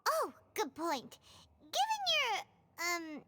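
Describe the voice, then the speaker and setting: A woman voicing a small, excitable fairy-like girl companion in a video game, blunt and whiny. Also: extremely high pitch, drawn-out words, very noisy, full character voice. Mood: determined, chatty.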